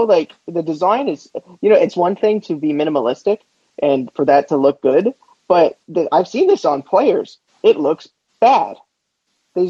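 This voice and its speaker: A man's voice: sits high, mellow, breathy edge, no echo, lo-fi sound. A man co-hosting a casual sports podcast, bantering in an opinionated, incredulous and sarcastic way. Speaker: podcast co-host.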